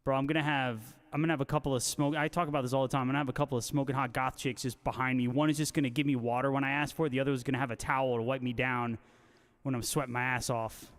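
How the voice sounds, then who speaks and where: A man's voice: medium pitch, little pitch movement, rapid-fire. A man hosting a casual guitar livestream, reading out viewer comments and bantering with joking, slangy talk. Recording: long hall-like reverb on voice.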